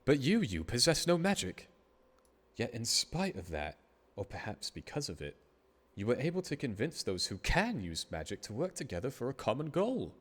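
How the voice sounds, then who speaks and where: A man's voice: medium pitch, huge pitch swings, moderate pace, a bit bright. A man playing a pompous, self-congratulating magic school headmaster, formal and melodramatic, in an anime fandub. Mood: grandly moved.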